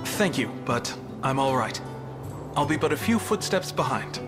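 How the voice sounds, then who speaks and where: A man's voice: medium pitch, lively intonation, moderate pace, over music, natural voice. A man playing a courtly, guarded wanderer in a fantasy video game, speaking formally. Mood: reserved.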